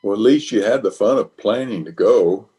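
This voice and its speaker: A man's voice: on the low side, moderate pace, mellow, breathy edge. A man playing a flustered, blustering middle-aged bachelor in an old-time radio comedy, hemming and sheepish. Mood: sheepish, apologetic.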